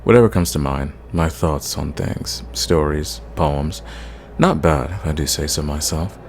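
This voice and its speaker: An ancient, sadistic vampire acted out by a man speaks in an extremely low voice full of gravelly creak. He takes his time, slow and theatrical, condescending to his listener as befits an ASMR fairytale roleplay villain. A faint noise sits behind the voice. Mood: wry, curious. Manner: theatrical, condescending, measured, drawing out words.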